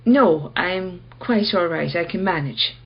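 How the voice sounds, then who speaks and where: A self-possessed woman gallery owner in a radio drama, played by an actress, talks in a lowish, measured voice. She is reserved and understated, quietly probing. The sound is a little dull. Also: lively intonation, heavy background noise. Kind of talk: radio play acting.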